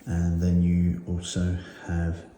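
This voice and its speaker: A man's voice: deep voice, completely flat delivery, slow, mellow. A man giving a casual hands-on product demonstration as a reviewer, unscripted and thinking aloud with some hesitation. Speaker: motorcycle gear reviewer and host. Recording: roomy echo with faint noise.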